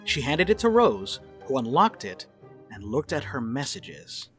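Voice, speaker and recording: A woman's voice: very deep voice, big pitch swings, unhurried, fairly bright tone, faint music behind. A woman reading scripted narration and stage directions for a dramatic reading.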